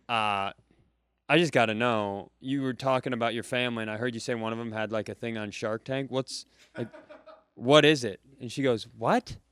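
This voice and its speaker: Brief: male comedian host, smooth voice, dry close mic, animated storyteller. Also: medium pitch, no room sound. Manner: animated, mimics other voices mid-story. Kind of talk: casual comic storytelling. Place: live venue, close mic.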